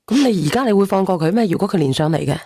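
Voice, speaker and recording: Female call-in host, mid-pitched and very fast, casual, probing with questions, close dry sound. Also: expressive, dark tone, husky, dry recording. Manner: questioning, interjecting. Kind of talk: casual live call-in chat.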